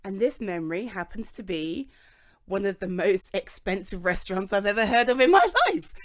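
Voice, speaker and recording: A young woman with a British accent chats softly in an ASMR roleplay, reassuring a friend who feels guilty about treating themselves. Her voice moves lively up and down, and small laughs come often between her sentences. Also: mid-range voice, normal speed. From Britain. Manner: chatty and reassuring, with frequent soft laughs.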